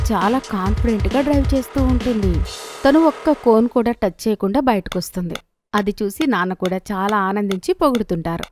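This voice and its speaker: A woman reading scripted narration for a children's story in speech. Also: medium pitch.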